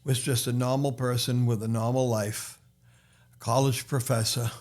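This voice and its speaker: A man's voice: mid-range voice, level tone, moderate pace, darkish tone. A man with a Boston accent, a podcast guest and author, talking in a casual chat with many restarts and fillers.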